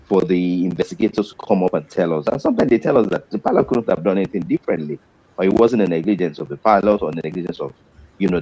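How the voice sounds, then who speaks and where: Middle-aged Nigerian male doctor, low voice, measured and pausing, panel guest. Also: mellow, slightly rough, poor audio. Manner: deliberate, with pauses and restarts.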